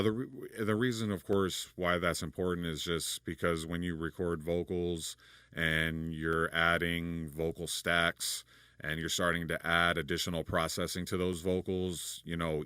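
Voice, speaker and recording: Deep-voiced man explaining studio recording. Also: unhurried, clear, resonant tone, no room sound. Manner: relaxed, rambling, many fillers and restarts. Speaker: recording engineer and producer. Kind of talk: casual studio tutorial.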